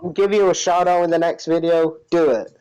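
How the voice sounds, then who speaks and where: A man's voice: on the high side, little pitch movement, fairly quick, darkish tone, clear, resonant tone, lo-fi sound. A man co-hosting a podcast, talking casually about pro wrestling. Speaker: podcast co-host.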